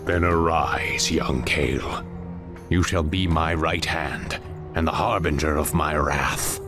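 An actor playing a commanding exiled demon lord in a fantasy game, brooding and portentous. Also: male voice, extremely low pitch, steady tone, takes it slowly, music in the background. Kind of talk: dramatic fantasy game cutscene acting.

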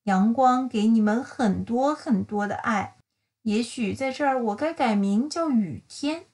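Slow, higher-voiced woman reading a children's story. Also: darkish tone. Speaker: storyteller reading a picture book. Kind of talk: slow bilingual children's story read-aloud.

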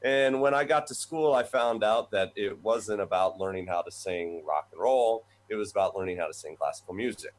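A man in his forties, a voice professor from the United States, telling his background in a relaxed, rambling way over a video call from a small office. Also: deep voice, poor audio. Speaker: guest, voice professor. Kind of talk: conversational storytelling. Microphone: webcam on video call.

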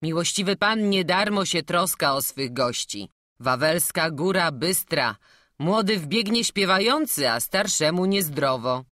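A woman's voice: on the low side, lively intonation, unhurried, fairly bright tone, dry recording, studio quality, pitched above the performer's own voice. A woman voicing a courteous male gatekeeper who speaks politely and deferentially.

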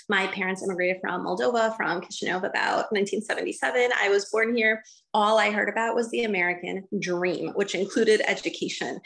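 A woman's voice: medium pitch, fairly bright tone, poor audio. A woman in her forties hosting an online webinar, speaking earnestly and with emphasis over a laptop mic on a video call. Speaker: webinar host. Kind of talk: welcoming webinar talk. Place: home room on video call. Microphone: laptop webcam mic on video call.

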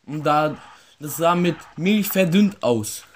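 A young man with a fairly high voice plays prank caller in a room. His delivery is halting and hesitant, and there is faint noise with the odd click on the recording. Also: lively intonation. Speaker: prank caller, video host. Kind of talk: prank phone call with asides to viewers. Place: indoor room with wooden floor.

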